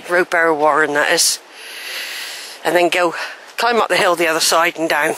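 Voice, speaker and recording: A woman's voice: deep voice, steady tone, normal speed, noisy background. An older woman, chatting and thinking aloud as she films a woodland walk, a little wheezy. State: a bit wheezy. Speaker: walking vlogger. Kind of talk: casual walking commentary. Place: wooded track outdoors. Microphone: handheld camera while walking.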